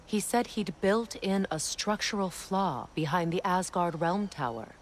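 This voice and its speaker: A woman playing a proud, wistful Norse goddess and weary former queen in a video game, speaking in a measured, solemn way. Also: on the low side, a little hiss or hum, lightly acted. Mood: wry, measured. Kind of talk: dramatic video game acting.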